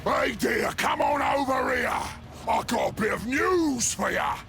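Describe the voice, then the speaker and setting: A man voicing a sly, jovial ork salesman in a video game, with a raspy Cockney English voice and a wheedling manner. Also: very high voice, huge pitch swings, takes it slowly, sharp tone, faint music behind, full character voice. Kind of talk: video game character acting.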